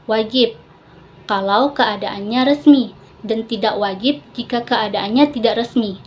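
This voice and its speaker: A woman's voice: fairly high pitch, a little slow, a little hiss or hum. A young adult woman, a student giving a scripted presentation with explanatory asides. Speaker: university biology student presenting an assignment.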